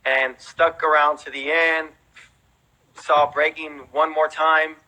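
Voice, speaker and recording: Bright-voiced American man, casual storyteller. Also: fairly high pitch, flat delivery, slow pace, low-quality recording. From raised in Houston, United States, born in Mexico. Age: 30s. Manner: informal, peppers talk with "you know" and "man". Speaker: interview guest, veteran breakdancer.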